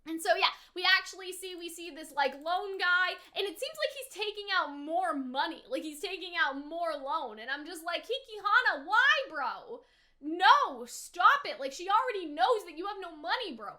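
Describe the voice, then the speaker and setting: A woman with a high, bright voice talks like a YouTuber reviewing a show. She is chatty and animated, using casual slang and drifting into tangents as she reacts. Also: normal speed.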